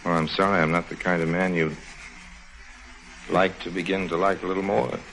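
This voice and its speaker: A man's voice: low voice, level tone, moderate pace, vocal fry, fairly bright tone. An actor in an old-time radio drama playing a roguish, charming male adventurer who tells his own story in a wry, understated way. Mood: soft, rueful.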